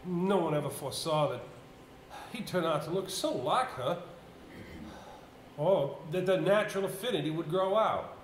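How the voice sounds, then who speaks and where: Burdened, reluctant villager played by a male stage actor, halting dramatic delivery, higher pitch, echoey theater. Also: lively intonation, close to an everyday voice. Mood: sorrowful recounting. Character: troubled, reluctant local man burdened by village secrets. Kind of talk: live amateur stage play acting. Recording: echoey, noisy amateur theater stage recording.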